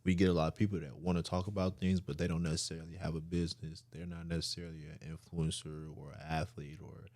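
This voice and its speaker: A young man in his twenties with a very low, mellow voice talks loosely on a podcast. He is slangy, swears freely and leans on fillers. The sound is close and dry. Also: little pitch movement, fast talker, few pauses, no echo. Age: 20s. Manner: laid-back, slangy, swearing, many fillers. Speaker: podcast co-host. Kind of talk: casual podcast chat.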